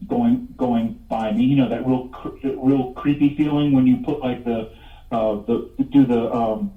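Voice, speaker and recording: A man in his fifties telling stories as an interview guest in a halting, rambling way, repeating words as he goes. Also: mid-range voice, steady tone. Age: 50s. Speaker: radio show guest, paranormal investigator. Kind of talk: casual interview storytelling. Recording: tinny phone line, echoey, distant.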